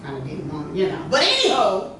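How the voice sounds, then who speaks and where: A woman hosting a workshop, telling stories to a small group in a lively, chatty way, with a lot of "you know.". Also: medium pitch, fast talker, far from the mic. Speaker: workshop host. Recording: echoey room, from afar, noisy.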